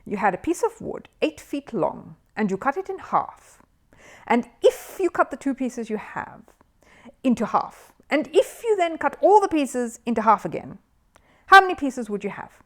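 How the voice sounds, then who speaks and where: A showy, easily exasperated male master of ceremonies, played by a woman reader. He announces emphatically and condescendingly, with big swoops and a raised pitch, in a children's storybook manner. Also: big pitch swings, normal speed. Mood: brisk, triumphant.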